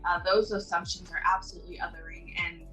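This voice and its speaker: A woman speaks in a slightly low voice, earnest and measured, as she shares her own experience for a community video. The mic sits some distance from her, so she sounds a bit removed, and soft music plays underneath her. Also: flat delivery. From Southern California. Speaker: community member sharing experiences. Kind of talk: personal testimony in a video.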